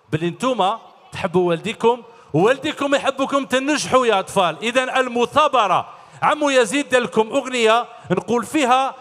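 A man's voice: very high-pitched, fairly bright tone. A middle-aged man with an Algerian Arabic accent, hosting a children's stage show, cheerfully quizzing the kids. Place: large concert hall stage. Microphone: handheld mic. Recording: long hall reverb.

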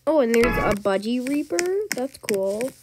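A young woman with a congested voice, casually chatting as she plays a game for her channel. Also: on the high side. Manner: chatty, slow-paced, says "like" often. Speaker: gaming channel host. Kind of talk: casual gameplay commentary. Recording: noticeable background noise.

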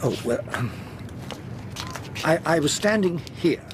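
A flustered, apologetic gentleman in a radio drama. He is hesitant and courteous, with a slightly high, swooping voice over clear background rumble. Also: animated, takes it slowly. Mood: apologetic, nervous. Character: flustered, apologetic gentleman witness, anxious to be exact.

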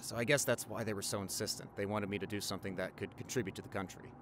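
Over faint car noise, a man acts out a loving doctor boyfriend in his forties. He tells his stories in a casual, halting, intimate way, as audio roleplay for a partner. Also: lowish voice, fairly even intonation, lightly acted. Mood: earnest, affectionate. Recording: car ride ambience behind the voice.

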